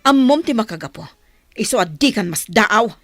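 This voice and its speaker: Scornful Filipino harsh wife, animated voice. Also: female voice, a little high, moderate pace, faint music behind. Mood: scornful, resentful. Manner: scornful, cutting. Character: harsh, resentful wife and cold adoptive mother. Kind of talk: Filipino radio drama acting.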